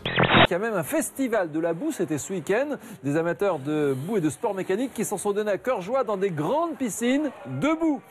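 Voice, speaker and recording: A man's voice: high-pitched, huge pitch swings, moderate pace, a little hiss or hum. Playful man doing light TV news.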